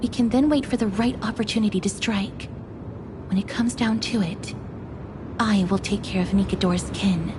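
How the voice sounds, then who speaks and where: An actress playing a gentle, solemn young woman warrior in a video game, speaking in an earnest, measured manner. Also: medium pitch, noisy background, lightly acted. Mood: resolute.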